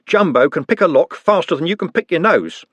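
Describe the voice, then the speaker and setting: A man's voice: on the high side, lively intonation, fairly quick, fairly bright tone, dry recording, voice pushed up. A man voicing a brash, cheeky young male football fan who swears and banters. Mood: bantering.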